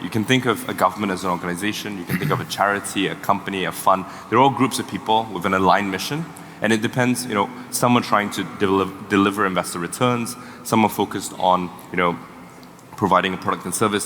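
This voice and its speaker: Man pitching his startup in a hall. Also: a bit bright. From Singapore. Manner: pitching, fluent, stumbles and repeats words. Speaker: startup co-founder. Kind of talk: fireside chat on stage. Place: event hall. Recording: reverberant hall with faint noise.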